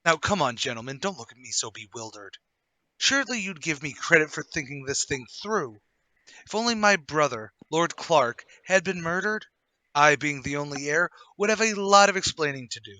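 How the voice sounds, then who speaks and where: A man playing a smug, haughty gentleman murderer in a radio play table read, with a theatrical delivery. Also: a little high, lively intonation, normal speed, poor audio. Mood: coolly boastful. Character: smug gentleman murderer, flustered then coolly confessing.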